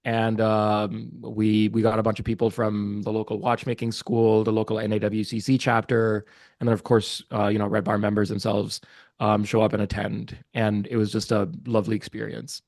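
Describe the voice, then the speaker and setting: A man's voice: fairly low pitch, completely flat delivery, normal speed, gravelly, a little mellow, dry recording, studio quality. A man from Pakistan whose first language is Urdu, a podcast guest talking casually and earnestly, with many fillers and restarts. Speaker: guest, watch market research business developer. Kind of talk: casual interview chat.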